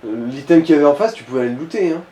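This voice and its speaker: A man's voice: a little high, expressive, rapid-fire, warm tone. A man guiding a player through a video game with casual tips and comments. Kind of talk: casual gaming chat, giving tips. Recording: roomy echo, faint background noise.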